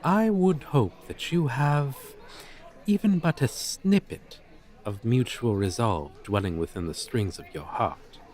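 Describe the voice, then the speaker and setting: A man's voice: medium pitch, expressive, a little slow, darkish tone, lightly acted. A man playing a pompous, flirtatious dragon teacher in an ASMR roleplay, speaking in florid, formal, condescending phrases. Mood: flirtatious, teasing. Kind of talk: ASMR roleplay, slow theatrical delivery.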